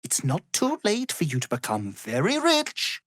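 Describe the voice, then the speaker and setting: An actor playing an arrogant, power-hungry wizard villain in a fantasy video game, with a haughty, theatrical, condescending manner. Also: male voice, fairly high pitch, wildly swooping pitch, a little slow, fairly bright tone, dry recording, clean studio sound, exaggerated voice. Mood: angry, demanding.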